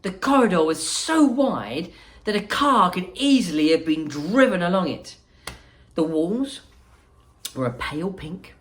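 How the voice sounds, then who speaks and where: A woman reading a children's story aloud as a school principal, expressively and with deliberate dramatic emphasis. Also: fairly low pitch, big pitch swings.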